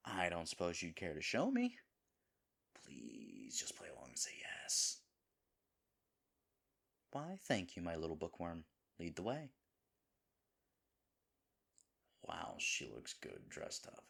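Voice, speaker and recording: A man's voice: sits low, huge pitch swings, a little slow, vocal fry, pauses often, a bit bright, exaggerated voice. A man playing a devoted, goofy boyfriend nervous about proposing, rambling and chiding himself earnestly in a scripted romantic audio roleplay. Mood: eager, self-chiding.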